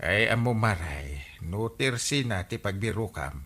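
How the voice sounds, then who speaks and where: A man with an Ilocano accent playing an excitable village captain in a radio comedy drama, full of exclamations as he settles neighbours' quarrels. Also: unhurried. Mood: scolding, mediating. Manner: exclamatory, swooping into high outbursts.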